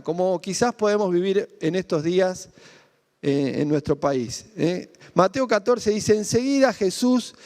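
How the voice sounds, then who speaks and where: An Argentine man with a Rioplatense accent preaches to his congregation in a loose, conversational way, stopping often to ask questions. His voice sits high for a man. Long reverb trails after his words, as if he is in a large hall. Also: darkish tone. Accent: Argentine Spanish (Rioplatense). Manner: conversational, rhetorical questions, frequent "¿no?" tag. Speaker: pastor. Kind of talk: sermon.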